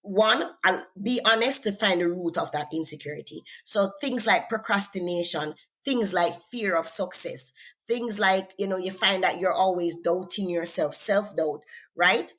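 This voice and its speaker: A woman's voice: lowish voice, normal speed, fairly bright tone. Woman, Jamaican accent, author guest, earnest, encouraging, faith talk. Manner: earnest, encouraging, repeats words when thinking. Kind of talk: faith-based interview talk.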